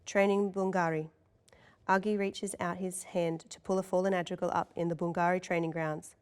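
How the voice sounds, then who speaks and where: A woman's voice: lowish voice, flat delivery, moderate pace, smooth. A woman reading scene directions as the narrator of a screenplay table read.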